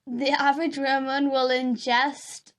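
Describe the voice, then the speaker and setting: A young woman from the UK co-hosting a casual chat, skeptical and teasing, quick to argue a point. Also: sits high, little pitch movement. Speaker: co-host. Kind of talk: casual chat reading facts.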